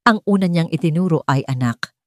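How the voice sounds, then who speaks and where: A woman's voice: low voice, very animated, moderate pace, dark tone, no room sound, studio quality. A woman reading a scripted dramatic story as an audiobook narrator.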